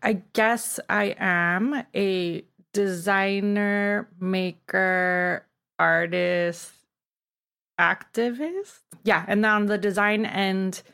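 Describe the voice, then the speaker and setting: Woman, middle-aged, from Mexico. Interview guest, informal storyteller, medium pitch, lots of "like". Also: a bit bright, clean tone. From Tijuana, Mexico. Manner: informal, peppers speech with "like". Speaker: guest, artist and designer. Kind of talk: casual personal storytelling interview.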